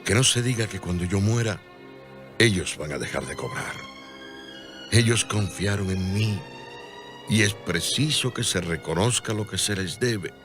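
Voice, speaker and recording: An actor playing an ailing, humble and devout friar, earnest and solemn, in a religious radio drama. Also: male voice, lowish voice, lively intonation, unhurried, music in the background. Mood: grave, resigned. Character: ailing, humble, devout hospital founder, self-sacrificing friar.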